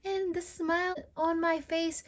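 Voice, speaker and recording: A woman's voice: extremely high pitch, measured pace, heavy background noise. A woman hosting a children's storytime, reading a picture book aloud in a sing-song, child-directed way. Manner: sing-song, animated talk addressed to young children. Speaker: storytime read-aloud host. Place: at home.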